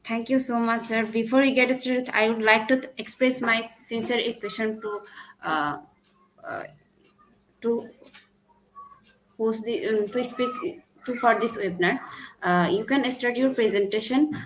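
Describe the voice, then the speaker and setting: A woman from Bangladesh opens an online webinar as its host, speaking formally and stopping to restart her sentences. Her voice sits fairly high. A faint noise hums under the call. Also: measured pace, rough recording. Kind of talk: formal scripted welcome.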